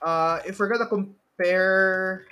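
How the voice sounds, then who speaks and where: A man's voice: sits high, steady tone, slow, bright, forceful tone, clean tone. A man with a Filipino accent co-hosting a podcast in a casual chat, often hesitating and repeating his phrases. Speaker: podcast co-host. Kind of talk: casual movie discussion chat.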